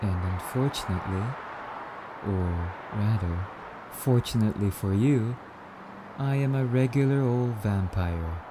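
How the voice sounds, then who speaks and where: Man playing a slow, low-voiced courtly vampire. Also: over music, natural voice, poor audio. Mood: coaxing, impatient. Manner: slightly archaic, musing, haughty yet polite. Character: courtly, wistful male vampire, hungry and teasing. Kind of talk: ASMR roleplay monologue addressed to listener.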